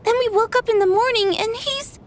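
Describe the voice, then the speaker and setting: A woman's voice: very high voice, a little fast. A woman playing an outspoken, earnest young girl who works at a theatre, in a video game. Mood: pleading. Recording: background music.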